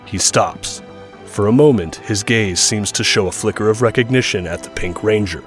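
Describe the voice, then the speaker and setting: Low-voiced man narrating action over music. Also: normal speed. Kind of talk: scripted audio drama action narration.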